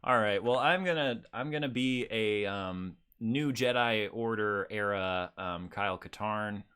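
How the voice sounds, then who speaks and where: A man's voice: fairly low pitch, a little slow, a bit bright, smooth. A man hosting a podcast in a casual, laid-back and jokey way. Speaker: podcast host. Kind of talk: casual chat.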